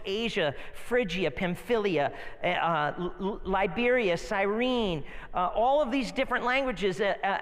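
A man's voice: high-pitched, fairly bright tone. A man with an American accent preaching conversationally, mixing Bible readings with informal stories and rhetorical questions. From northeastern United States. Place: large reverberant hall, live sermon. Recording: long hall reverb.

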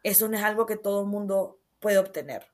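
A young woman from Honduras with a Honduran accent, a guest author telling stories in an animated, casual interview. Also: medium pitch, fairly quick. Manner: animated, storytelling with many fillers.

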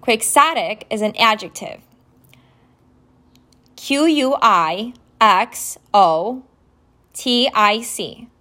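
Slow-talking woman, Romanian native speaker. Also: on the high side, lively intonation, bright, forceful tone. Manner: slow, deliberate, spells words letter by letter. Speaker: podcast host. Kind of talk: vocabulary lesson, casual spelling and definitions. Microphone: phone app recording.

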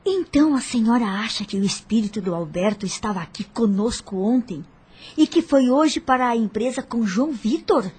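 Very husky, earnest woman. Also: a little high, expressive, normal speed, a little mellow. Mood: alarmed, curious. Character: earnest, anxious, curious woman, mother of the household. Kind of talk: radio soap opera acting.

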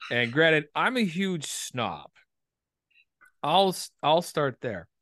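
A man's voice: fairly high pitch, sing-song, slow, a bit bright, dry recording. Dry-sounding, rambling male podcast host. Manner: hesitant, rambling, self-deprecating. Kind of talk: casual chat.

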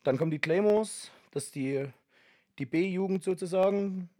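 A man's voice: sits high, normal speed, warm tone, no echo, clean studio sound. A man in his thirties hosting a casual podcast chat and cracking jokes as he talks. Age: 30s. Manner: joking, chatty. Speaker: podcast host and linebacker coach.

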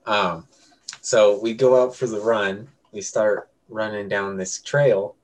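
A man's voice: fairly low pitch, fairly even intonation, takes it slowly, low-quality recording. A young adult man telling a story casually to friends, rambling and correcting himself as he goes. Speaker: podcast co-host telling a story.

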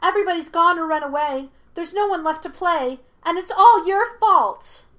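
A woman voicing a mischievous, childlike monkey spirit in a story, high-pitched, petulant and mocking with a sing-song manner. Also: lively intonation, normal speed, fairly bright tone.

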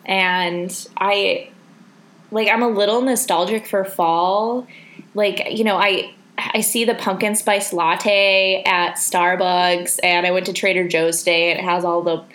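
A woman's voice: mid-range voice, steady tone, unhurried, a bit bright. Chatty woman co-hosting a podcast. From South Dakota. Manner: chatty, laughs easily, many fillers. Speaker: podcast co-host. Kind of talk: casual chat.

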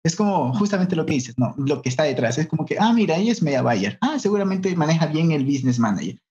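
Animated Mexican man hosting, dull audio. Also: fairly high pitch, fast talker. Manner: colloquial, expressive. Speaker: podcast host. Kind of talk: casual conversational interview. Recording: dull, lo-fi online call audio.

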